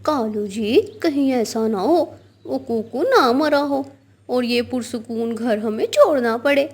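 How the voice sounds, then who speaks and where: A woman's voice: on the high side, slow pace, a little mellow, husky, exaggerated voice. A woman voicing an anxious, scheming bird wife in a children's cartoon, fretful and conspiratorial. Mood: worried scheming.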